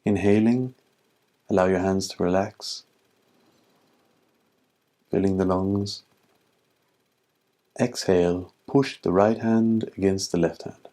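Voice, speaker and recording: A man leading a guided meditation as a teacher, speaking calmly and in measured phrases with long pauses. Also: low voice.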